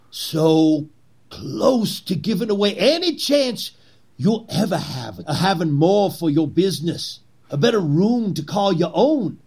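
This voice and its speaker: An actor playing a zealous, persuasive Confederate conspirator in a historical drama, with a husky voice and theatrical, oratorical delivery. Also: male voice, fairly high pitch, a little mellow, heavily acted. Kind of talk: period historical drama acting.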